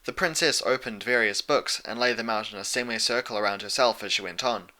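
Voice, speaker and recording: A man's voice: on the low side, fairly quick, pushed and bright. A man reading scripted story narration as an audiobook narrator.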